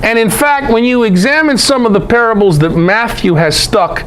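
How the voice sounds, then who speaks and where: A man's voice: very high voice, moderate pace, a bit bright, slight background noise. A man giving an informal, irreverent scripture lecture in an emphatic way, often putting rhetorical questions to his listeners. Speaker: Bible teacher, presenter.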